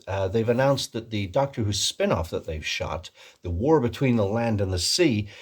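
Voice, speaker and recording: A man chatting casually as a video host in a put-on American accent, rambling and joking at his own expense. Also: mid-range voice. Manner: rambling, jokey, self-deprecating. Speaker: host of a Doctor Who fan video channel. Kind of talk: casual comic vlog chat.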